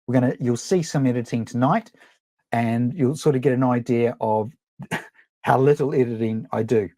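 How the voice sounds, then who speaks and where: A man's voice: medium pitch, normal speed, dark tone, no room sound. Kiwi guy running a webinar, lots of ums, mid voice, dead dry mic. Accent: New Zealand. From New Zealand. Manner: chatty, rambling, frequent ums and restarts. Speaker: webinar presenter, photographer. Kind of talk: casual live webinar teaching.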